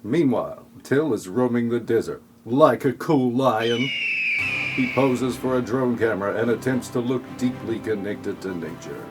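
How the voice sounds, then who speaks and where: A man's voice: mid-range voice, animated, a little slow, music in the background. A man narrating a scripted comic audio play in a mock-dramatic, tongue-in-cheek way.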